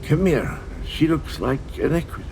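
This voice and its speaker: An actor playing a gentle, muddled old undead knight in a video game, fading and speaking haltingly with a creaky, rueful voice. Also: male voice, a little high, expressive, a little hiss or hum. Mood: wistful. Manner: halting, wistful, rambling. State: fading, weakening. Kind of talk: video game character dialogue.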